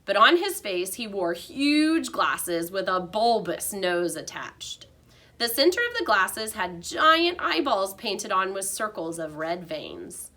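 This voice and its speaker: A woman reading a children's storybook aloud like a teacher, with expressive narration. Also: medium pitch, slow pace.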